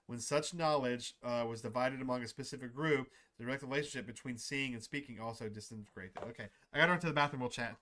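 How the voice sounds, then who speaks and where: A man livestreaming a visual novel, switching between excitable, repetitive casual chat and reading the story text aloud. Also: medium pitch, quick pace, hardly any pauses, slight background noise. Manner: excitable, repeats himself. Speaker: streamer playing a visual novel.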